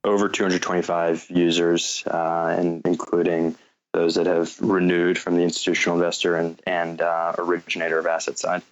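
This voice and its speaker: An American man answering interview questions and explaining a business, often pausing and repeating words as he picks his phrasing. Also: low-pitched, completely flat delivery, muffled recording. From North Carolina, United States. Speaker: guest, fintech company founder.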